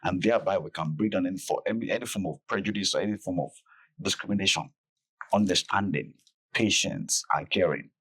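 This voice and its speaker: A man explaining things phrase by phrase in a measured, conversational interview manner. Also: low voice, fairly bright tone, breathy edge. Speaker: podcast guest, festival director.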